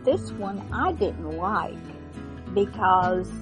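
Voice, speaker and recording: A woman hosting a radio show in casual, halting, unscripted chat. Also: medium pitch, sing-song. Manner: chatty, halting, informal. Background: guitar music.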